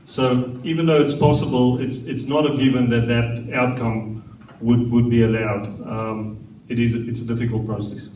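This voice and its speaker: Council director, mid-pitched, careful and explanatory, echoey room. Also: male voice, little pitch movement, darkish tone. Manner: measured, explanatory, frequent restarts. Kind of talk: formal explanation at a meeting. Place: council chamber. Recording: echoey chamber, distant mic, faint hiss.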